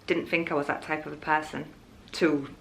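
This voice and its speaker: Lower-pitched young Yorkshire woman, noisy recording. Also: little pitch movement, normal speed, creaky, fairly bright tone. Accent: Yorkshire English. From Hull, Yorkshire, England. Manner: rambling, candid. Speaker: vlogger. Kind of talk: casual chatty vlog.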